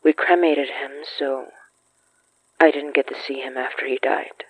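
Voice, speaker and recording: A woman's voice: extremely low pitch, steady tone, measured pace, husky, dry recording, exaggerated voice, low-quality recording. A woman playing an earnest, stubborn young woman who confronts ghosts in an audio horror drama. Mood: sombre, quiet. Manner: earnest, insistent, pleading.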